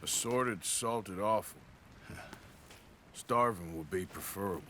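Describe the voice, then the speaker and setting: A man's voice: deep voice, slow, bright, forceful tone, a little hiss or hum, exaggerated voice. A man playing a wry, hard-bitten American outlaw gunman in a western game, speaking with dry, sardonic understatement.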